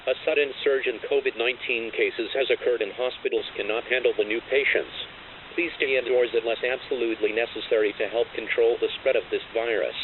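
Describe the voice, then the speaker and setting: A man's voice: mid-range voice, steady tone, a little fast, slight background noise. A man reading a scripted emergency broadcast announcement in a formal, impersonal, synthetic-sounding way. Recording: tinny, phone-like narrow-band alert broadcast.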